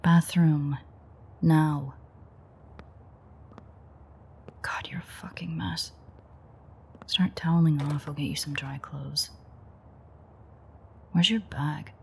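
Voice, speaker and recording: A woman playing a weary, sardonic, teasing female vampire in an intimate audio roleplay, with a breathy, husky voice that often drops near a whisper and a dry, sarcastic way of talking. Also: low voice, steady tone, slow pace, pauses often, darkish tone, slight background noise, exaggerated voice. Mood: exasperated, bossy. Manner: dry, rambling, sarcastic banter.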